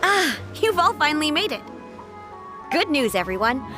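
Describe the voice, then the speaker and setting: A woman's voice: high voice, big pitch swings, moderate pace, over music. A woman playing a courteous, cheerful young publishing clerk in a video game. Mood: delighted. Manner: polite, encouraging, businesslike.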